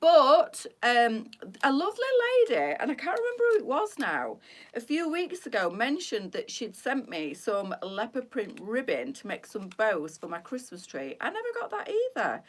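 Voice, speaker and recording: A woman's voice: fairly high pitch, huge pitch swings, normal speed. Chatty Yorkshire woman live-streaming. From Yorkshire, England. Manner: chatty and rambling, laughs often, teasing. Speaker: boutique live-stream host. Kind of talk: casual live-stream chat to viewers.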